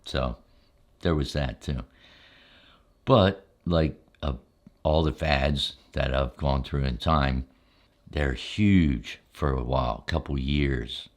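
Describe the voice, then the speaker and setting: An older man with an exceptionally deep, low voice tells stories from memory for ASMR. He is relaxed and unscripted, taking his time and often pausing partway through a sentence before carrying on. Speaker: ASMR storyteller, channel host.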